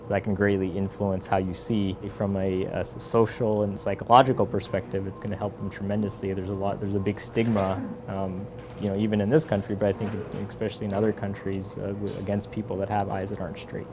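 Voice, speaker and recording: A man with a deep-leaning, very even voice talks as a surgeon. He explains in an informal, unscripted way. There is plenty of fry in his voice and noticeable noise around him. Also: a little fast, few pauses. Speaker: eye surgeon.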